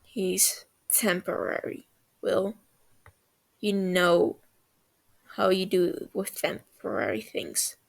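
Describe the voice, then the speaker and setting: A gruff, blunt young man with a low, flat delivery and a gravelly edge, put on by a woman reading a story aloud. He talks slowly and dryly, like a tired older brother in a storybook. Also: rough recording.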